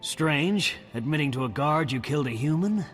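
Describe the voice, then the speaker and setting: An actor playing a sly, corrupt town guard in a video game, speaking in a mocking way. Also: male voice, on the high side, animated, normal speed, in a large hall, soft background music, natural voice. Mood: smug, mocking. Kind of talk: video game dialogue acting.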